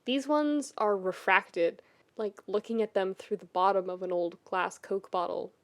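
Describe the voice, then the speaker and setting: A woman reading fiction as a dramatic, emotive narrator, measured and with deliberate pauses. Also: mid-range voice, steady tone. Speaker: podfic narrator.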